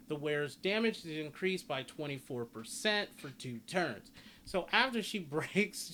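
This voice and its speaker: A man's voice: on the high side, a bit bright. A man giving a casual video game guide, explaining and reading out stats as he goes. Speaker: gaming guide creator. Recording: clear background noise, game ambience. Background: game sounds and ambience.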